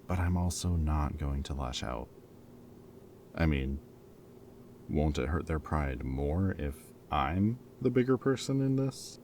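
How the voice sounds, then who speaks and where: A man playing a protective, teasing former villain in an audio roleplay, with a wry, casual, halting delivery. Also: extremely low pitch, mellow, a little hiss or hum. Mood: resolved.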